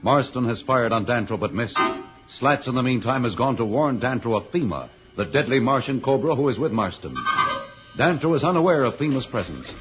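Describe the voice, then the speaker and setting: A man's voice: medium pitch, animated, a little fast. A man announcing and narrating an old-time radio serial in a dramatic, scripted style. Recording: muffled old radio recording. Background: loud organ music.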